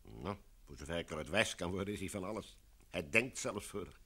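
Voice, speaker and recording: An actor playing a brash, jovial film director in a Dutch radio play, talking bluntly and informally. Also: male voice, low voice, animated, brisk, sharp tone, breathy edge, exaggerated voice. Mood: chatty, blunt.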